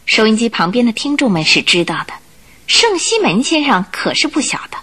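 A woman narrating a radio drama in an expressive, scripted storytelling style. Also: fairly high pitch, brisk, breathy edge.

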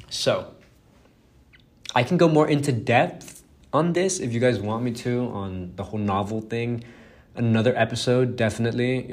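A young man whose first language is Spanish, hosting a casual solo podcast, rambling and self-deprecating with lots of fillers and swearing. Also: mid-range voice. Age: young adult. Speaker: podcast host.

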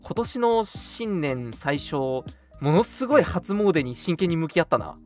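A man in his twenties chats as a radio co-host, his pitch moving in lively swings. The talk is loose, friendly banter, with music playing behind it. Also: measured pace. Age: 20s. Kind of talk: casual banter between friends.

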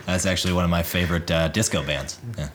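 A man with a very low, deep speaking voice trades dry, deadpan jokes in loose studio banter. He riffs on each bit with a straight delivery. A faint hiss sits under the recording. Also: quick pace. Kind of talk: casual joking chat.

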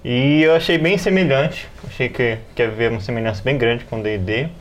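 A man's voice: mid-range voice, a little fast. A man with a Brazilian accent giving casual opinions as a player on a tabletop gaming podcast, over faint background noise. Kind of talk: casual chat about tabletop games.

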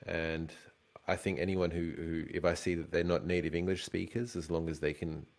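Very deep-voiced enthusiastic man. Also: flat delivery, normal speed, darkish tone. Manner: enthusiastic, emphatic. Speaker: guest, design recruiter. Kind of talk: casual interview chat.

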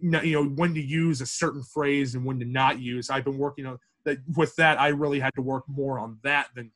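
A young man with a deep, projecting voice, a campus radio sports director talking casually as a podcast guest, with many restarts and fillers. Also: steady tone, fairly quick, fairly bright tone. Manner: rambling with frequent restarts and fillers.

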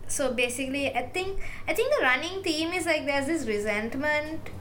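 High-voiced chatty young woman. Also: animated, moderate pace, a bit bright. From Sri Lanka. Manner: chatty, heavy use of "like". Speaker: podcast co-host. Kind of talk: casual chat about folklore. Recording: faint background noise.

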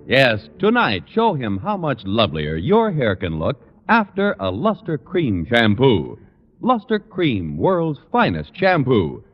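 A man's voice: mid-range voice, wildly swooping pitch, slow pace. An American man reading sponsor announcements as a 1940s radio announcer. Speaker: commercial announcer. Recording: muffled, reverberant vintage radio recording.

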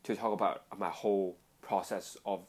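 Low-voiced young man from Hong Kong. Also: fairly even intonation, normal speed, husky. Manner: agreeable, chimes in to finish the other speaker's sentences. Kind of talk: casual conversation.

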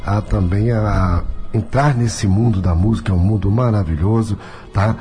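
Carioca man, radio host and pastor, mellow tone, chatty, faint music underneath. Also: fairly low pitch, normal speed. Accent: Carioca Brazilian Portuguese. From Rio de Janeiro, Brazil. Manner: talkative, peppers sentences with tag questions. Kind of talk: chatty radio talk show hosting.

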